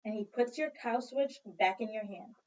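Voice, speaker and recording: A woman teacher doing an expressive read-aloud for children, telling the story dramatically and prompting listeners with questions. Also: fairly high pitch, normal speed. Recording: dull lo-fi sound, distant from mic.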